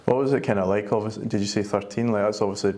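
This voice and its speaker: A man's voice: on the low side, fairly even intonation, rapid-fire, darkish tone. A man with a Scottish accent, putting questions as a sports writer in a casual interview.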